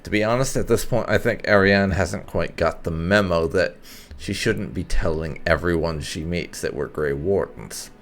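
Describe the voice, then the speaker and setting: A man's voice: low-pitched, moderate pace. A man giving casual gameplay commentary as host, musing and thinking aloud. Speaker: gaming video host. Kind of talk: casual gameplay commentary, lightly role-played. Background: game music.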